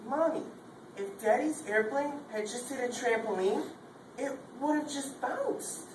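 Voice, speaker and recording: A young woman voicing a small boy who asks innocent, questioning things, pitched high. The voice is picked up from far off in an echoey hall, with noise behind it. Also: expressive, a little slow. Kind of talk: student readers theatre.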